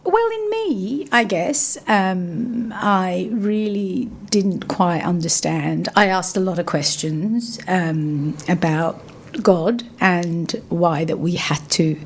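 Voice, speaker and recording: Gravelly low-voiced woman with refined London accent. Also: animated, takes it slowly. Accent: refined London English. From Yemen. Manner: reflective, many fillers and restarts. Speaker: interview guest. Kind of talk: casual interview conversation. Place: radio studio.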